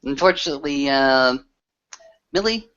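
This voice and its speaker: Man, game master, halting casual narration, muffled lo-fi voice call, middling pitch. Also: lively intonation, a bit bright, clear, resonant tone. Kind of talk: tabletop roleplay narration, casual.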